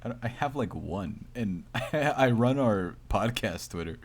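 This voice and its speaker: Low-voiced man, teasing podcast co-host. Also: animated, unhurried. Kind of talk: casual joking film chat.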